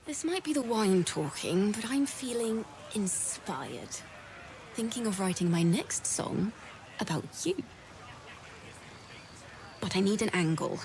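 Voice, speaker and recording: A woman's voice: mid-range voice, lively intonation, takes it slowly, pauses often, a little mellow, slight background noise. A woman voicing a tipsy, admiring bard in a fantasy video game, musing and a little hesitant. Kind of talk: video game dramatic acting.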